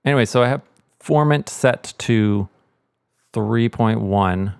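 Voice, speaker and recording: A man with a fairly low voice walks through a software demonstration. He talks casually, thinking aloud as he goes, in a very dry recording with no room sound. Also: slow, gravelly, clean tone, dry recording. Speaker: sound mixer giving a tutorial.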